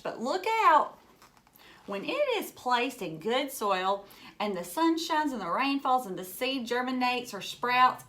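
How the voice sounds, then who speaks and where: A woman teacher reading a picture book aloud to young children, pausing for enthusiastic asides, simple explanations and questions to her listeners. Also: a little high, a bit bright.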